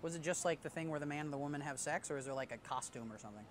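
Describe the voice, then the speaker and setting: A brash young man rattles off cheeky questions at a quick clip, a handheld mic picking him up on a city sidewalk at night. Faint street noise hums behind him. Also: fairly high pitch. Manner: fast, brash, teasing. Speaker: street interviewer. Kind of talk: joking street interview.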